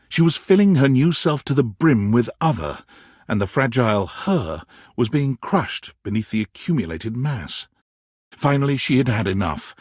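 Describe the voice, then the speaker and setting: A man giving scripted, theatrical narration for a game, telling the story in an expressive, dramatic way. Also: mid-range voice, husky, dry recording, clean studio sound.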